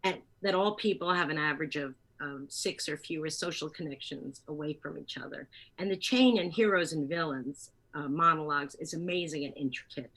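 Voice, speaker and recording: Lower-pitched woman in a halting interview. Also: a bit bright, rough recording. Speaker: interviewee, theater producer and playwright. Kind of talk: conversational interview, partly reading from notes.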